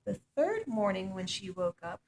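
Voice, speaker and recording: A woman reading a children's story aloud as an expressive narrator. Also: mid-range voice, normal speed, slight background noise. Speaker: storytime reader.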